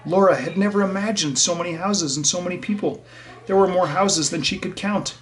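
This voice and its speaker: A man's voice: sits high, fairly quick, low-quality recording. A man reading a children's story aloud and talking to young listeners in a simple, explanatory way. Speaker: teacher reading a picture book aloud to children.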